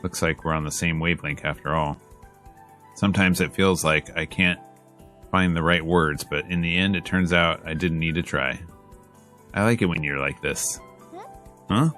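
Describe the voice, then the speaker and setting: A man's voice: extremely low pitch, normal speed, pauses often, fairly bright tone, soft background music. A man reading a visual novel aloud in a casual, slangy let's play style, moving between narration and dialogue.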